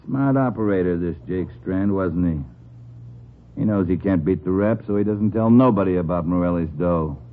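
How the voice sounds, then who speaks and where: A man's voice: low voice, moderate pace, lightly acted. Man acting a menacing old-radio gangster, lo-fi. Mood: sly, sneering. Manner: slangy tough-guy talk, barked threats and sarcasm. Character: menacing, sneering male gangster, bullying and impatient. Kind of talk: 1950s radio crime drama acting. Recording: muffled, noisy old 1950s radio recording.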